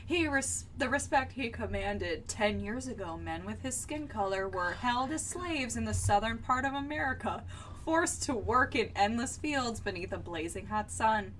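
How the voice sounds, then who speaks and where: A woman's voice: fairly high pitch, lively intonation, moderate pace, a bit bright. A woman co-hosting a book podcast, talking casually in a critical, emphatic, exasperated way and sometimes reading passages aloud. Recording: distant mic, faint background noise.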